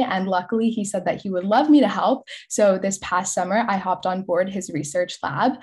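A woman's voice: mid-range voice, a little mellow. A woman of about twenty with a Californian American accent, answering as a student panelist in an informal way. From California, United States. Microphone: video call.

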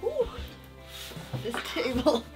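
A young woman vlogging casually. She thinks out loud and fills her talk with "like". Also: high-pitched, sing-song, takes it slowly. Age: young adult. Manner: chatty, thinks aloud with many likes. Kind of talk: casual chat. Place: small wooden boat cabin, indoors. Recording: music playing under the voice.

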